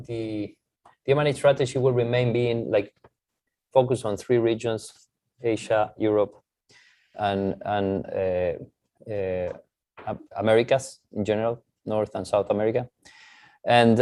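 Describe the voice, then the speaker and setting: Male executive, medium pitch, hesitant piecemeal answers on an earnings call, very dry recording. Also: warm tone, dry recording. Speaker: company executive.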